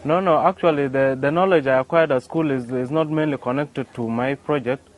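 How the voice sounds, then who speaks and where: A man's voice: mid-range voice, brisk. A young man, answering interview questions hesitantly, with many restarts and a filler "yeah". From South Sudan. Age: young adult. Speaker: interview guest, young inventor. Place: outdoors by a workshop. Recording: faint outdoor noise.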